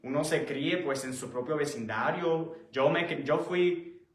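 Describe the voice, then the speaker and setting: Male Bible teacher, slightly high voice, halting explanatory delivery, roomy echoing recording. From born in Puerto Rico, raised in the United States. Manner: explanatory, halting, rephrases often. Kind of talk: conversational sermon, Bible study teaching.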